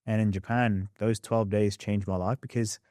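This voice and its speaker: A young man with a mixed Australian and English accent, a podcast guest telling his story in a candid, animated way. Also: fairly low pitch, no room sound, studio quality. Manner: animated, quick storyteller who acts out past scenes.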